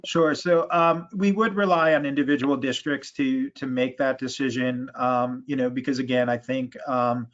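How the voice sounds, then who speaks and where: A man answering questions as an association official in an online meeting, speaking in a halting, measured way with false starts. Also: mid-range voice, steady tone, fairly bright tone. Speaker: education and school sports association official. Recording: dull, lo-fi video call audio.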